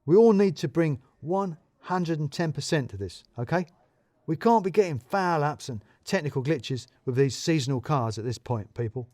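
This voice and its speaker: A man's voice: fairly high pitch, lively intonation, normal speed, darkish tone, pitched above the performer's own voice, lightly acted. A pompous male office manager, acted out by a male story reader barks in clipped, emphatic corporate phrases. He is self-important and bossy. The sound is dry, with no room echo. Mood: rallying, bossy.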